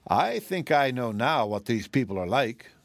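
A man voicing a wise storybook wizard, brisk, assured and consoling. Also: medium pitch, very animated, creaky, dry recording. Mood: knowing.

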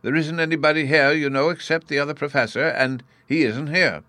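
A man voicing an excitable, absent-minded, kindly old professor in a storybook, with a flustered, pedantic manner. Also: mid-range voice, moderate pace, dry recording, voice pushed up, lightly acted. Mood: flustered, wild.

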